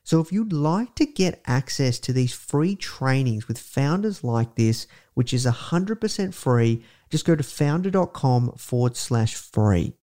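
An Australian man hosting a podcast with a croaky, hoarse voice. He speaks upbeat and fast, with many "uh" fillers. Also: medium pitch, lively intonation, dark tone. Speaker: podcast host. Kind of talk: casual podcast intro monologue.

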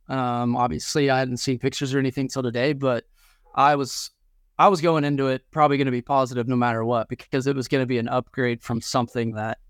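A man's voice: medium pitch, clear, resonant tone, no room sound, studio quality. A man co-hosting a casual sports talk show in an agreeable, conversational manner. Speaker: sports podcast co-host.

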